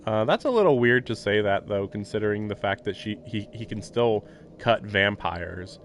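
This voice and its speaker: A man's voice: lowish voice, lively intonation, normal speed, a little hiss or hum. A man streaming a visual novel, reading the text aloud and adding casual commentary. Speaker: game streamer. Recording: game music behind the voice.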